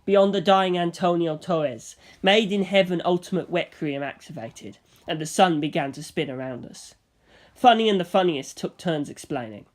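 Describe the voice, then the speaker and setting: A person reading a story aloud as an expressive first-person narrator. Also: medium pitch, moderate pace. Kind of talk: expressive audiobook narration with character voices.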